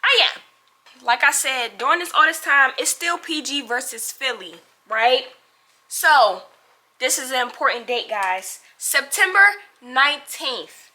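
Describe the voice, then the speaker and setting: A young woman with a high, bright voice tells a story straight to her viewers. Her delivery is casual and slangy, with dramatic emphasis as she plays out who said what. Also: animated, measured pace. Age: young adult. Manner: dramatic, slangy, acts out dialogue. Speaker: storytime vlogger.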